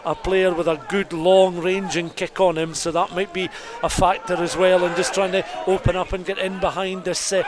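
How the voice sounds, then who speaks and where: A man's voice: high-pitched, little pitch movement, normal speed, hardly any pauses. A man giving detailed, descriptive live play-by-play rugby commentary for radio. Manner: descriptive, detailed scene-setting. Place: rugby stadium. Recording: crowd noise behind live outdoor commentary. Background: stadium crowd.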